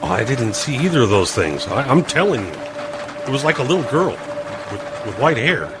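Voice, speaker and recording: An actor playing a rattled, stammering army private in an audio drama. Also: male voice, mid-range voice, big pitch swings, moderate pace, over music, natural voice. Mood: anxious.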